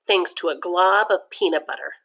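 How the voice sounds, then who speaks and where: A woman reads a children's comic book aloud in a chatty way. She keeps pausing to offer her own asides between passages. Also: fairly low pitch, a bit bright. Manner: chatty, adds personal comments between passages. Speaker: read-aloud narrator.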